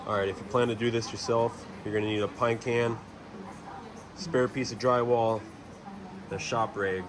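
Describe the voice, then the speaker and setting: A man's voice: mid-range voice, fairly even intonation, normal speed, background noise. A man talking casually and thinking aloud as he narrates a hands-on DIY mechanical job in an informal way.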